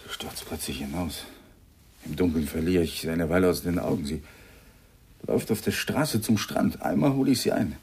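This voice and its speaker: An actor playing a nervous, tipsy, guilt-ridden man in a German radio crime drama, halting and apologetic as he speaks. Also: sits low, a little mellow. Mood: agitated recounting. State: tipsy, agitated. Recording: clearly noisy radio drama recording.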